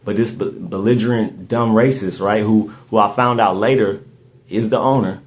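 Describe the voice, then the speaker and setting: A man's voice: on the low side, steady tone, moderate pace. A man, hosting a podcast and telling a story in casual chat, rambling and restarting his words often. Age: 30s. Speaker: podcast host.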